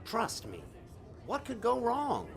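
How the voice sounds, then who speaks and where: An actor playing a grumpy, boastful stage magician in an audio drama, sarcastic and theatrical in manner. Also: male voice, wildly swooping pitch, takes it slowly, creaky, full character voice. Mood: boastful, eager. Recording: faint noise with low rumble.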